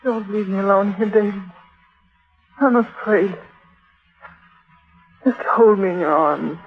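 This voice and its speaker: A woman's voice: mid-range voice, slow pace, lo-fi sound, soft background music. An actress playing a young woman in love in an old radio thriller, with dramatic delivery. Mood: afraid, pleading. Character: frightened dying young woman in love, remembering. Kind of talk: 1940s radio acting.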